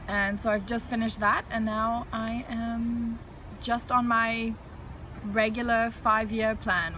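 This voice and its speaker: A woman in her thirties from South Africa talks through her story as an interview guest, frank and chatty, sometimes laughing lightly. Her pitch is mid-range for a woman, and faint noise sits under the recording. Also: bright, forceful tone, poor audio. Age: 30s.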